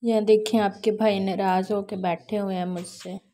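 A woman's voice: medium pitch, level tone, moderate pace, mellow. Young woman, casual village vlog, phone mic, noisy outdoor courtyard. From Pakistan. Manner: chatty, addresses viewers as friends. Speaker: vlogger. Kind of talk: casual vlog talk to camera. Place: rural home courtyard, outdoors.

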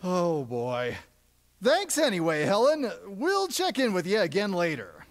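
A man voicing an animated, exasperated radio DJ puppet with theatrical reactions. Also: high voice, unhurried, heavily acted. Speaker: radio DJ host. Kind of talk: comic puppet-show radio patter.

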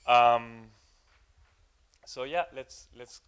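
Young male tech speaker, clear smooth voice, halting delivery full of "um"s, live conference room. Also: mid-range voice, fairly bright tone. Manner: hesitant, many fillers and repeated words. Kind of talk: technical conference talk.

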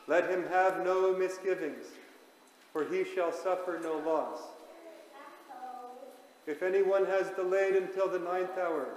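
Slow-reading priest in an echoey church. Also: male voice, high-pitched, a little hiss or hum. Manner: slow, measured declamation, rising to fervent proclamation. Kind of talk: reading a liturgical homily aloud. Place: large church. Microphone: far camera.

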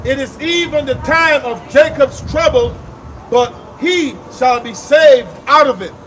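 High-voiced man preaching on the street. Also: fairly bright tone, distant mic, slight background noise. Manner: reads scripture aloud with emphatic drawn-out delivery. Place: outdoors on a city sidewalk.

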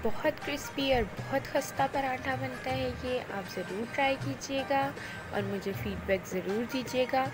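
A woman calmly explains each cooking step in a voice-over, speaking directly to viewers. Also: high-pitched, moderate pace. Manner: calm, instructive, addresses viewers directly. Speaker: cooking channel host. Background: loud background music.

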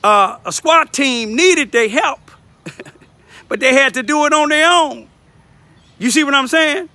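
A man's voice: extremely high pitch, takes it slowly, pushed and bright, no room sound. An older man giving informal, emphatic commentary outdoors into a phone, repeating himself for emphasis. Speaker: local citizen reporter. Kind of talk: informal selfie vlog commentary. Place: outdoors in a backyard. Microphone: phone at arm's length.

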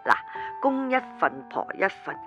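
A woman's voice: sits high, very animated, normal speed, lo-fi sound. Hesitant older mother, music behind. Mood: gently pleading. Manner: hesitant, stammering, placating. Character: worried, conciliatory older mother caring for her grandson. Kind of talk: radio drama acting.

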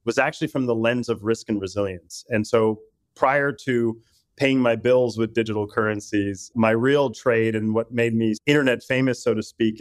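Podcast guest from Puerto Rico, mid-pitched man, articulate with frequent restarts, dry close sound. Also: no echo. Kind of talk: casual interview talk.

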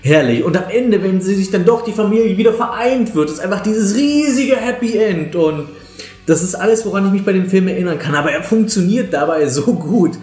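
A man's voice: high voice, lively intonation, a little fast, darkish tone. A man gives casual, opinionated film reviews in a conversational way. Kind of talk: casual spoken review to camera. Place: small living room, on a sofa. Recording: roomy indoor echo, music behind.

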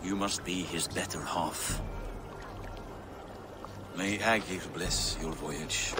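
A man's voice: low-pitched, slow pace, heavy background noise. An actor playing a stern, weary old Viking king and father in a video game, grave and admonishing. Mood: grave, resigned. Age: older. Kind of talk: dramatic game cutscene acting.

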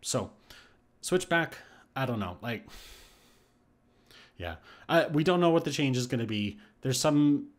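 Man, mid-pitched voice, gaming video host, casual commentary, speculative, thinking aloud. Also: animated, unhurried, long pauses. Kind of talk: casual game update commentary.

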